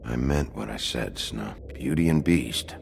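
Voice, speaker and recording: A brooding, protective sheriff in a noir video game. The voice is exceptionally deep and gravelly, with lively swings in intonation. It sounds gruff and hard-boiled. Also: male voice, measured pace, a little hiss or hum, exaggerated voice.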